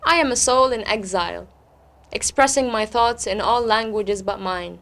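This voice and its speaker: A woman's voice: mid-range voice, normal speed, vocal fry, fairly bright tone. A woman who is an interview guest and poet, speaking expressively and repeating words for weight. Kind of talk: interview talk and spoken-word poetry recital.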